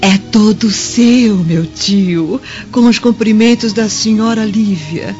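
An actress plays a pious young maidservant, cheerful and loyal, in a radio drama. She speaks respectfully and earnestly at a medium pitch. The sound is dull, over clear background music. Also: slow, lightly acted. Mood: joyful.

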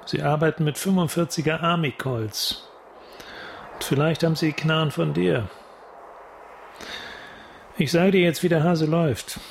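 Hard-nosed detective, slightly high voice. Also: male voice, fairly even intonation, unhurried, vocal fry, long pauses, a little hiss or hum. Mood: coldly threatening. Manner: curt, menacing, controlled. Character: hard-nosed, threatening police detective sergeant. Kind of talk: German radio crime drama acting.

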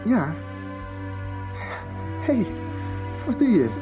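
Young dreamer in a radio soap, kinda high voice, hesitant, earnest, unsure of himself. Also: male voice, big pitch swings, very slow, muffled recording, over music, full character voice. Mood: casual, teasing. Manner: hesitant, sentimental. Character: earnest, doubting young man leaving home to search.

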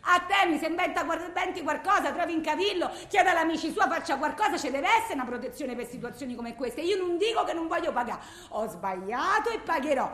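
A woman's voice: high voice, lively intonation, fast talker, few pauses, a bit bright. An actress playing a distraught, volatile blind wife with a Roman accent, rambling and self-justifying in agitated messages to her lawyer in a radio monologue. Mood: desperate, pleading. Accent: Roman Italian. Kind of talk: theatrical radio monologue, framed as phone messages. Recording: roomy, echoing sound.

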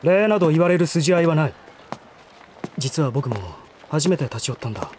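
Man acting refined young gentleman, formal and earnest. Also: fairly high pitch, lively intonation, a little fast, background noise, natural voice. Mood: stiff, prickly. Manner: formal, stiff, earnest speech. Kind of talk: Japanese voice drama acting.

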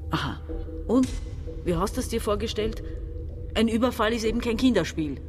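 A woman's voice: on the high side, lively intonation, moderate pace, over music, natural voice. An actress playing a bitter, domineering woman in her forties in a radio play, insistent and condescending. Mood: insistent, impatient. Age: 40s. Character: domineering, bitter sister masterminding a robbery. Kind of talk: radio play dialogue and monologue.

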